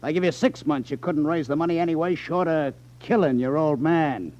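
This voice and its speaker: An actor playing a sly, menacing gambling club boss in 1950s radio drama style, smoothly false-friendly and sarcastic, with slow, deliberate emphasis. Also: male voice, on the high side, a little mellow, natural voice. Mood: cold, threatening. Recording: dull 1950s radio broadcast recording.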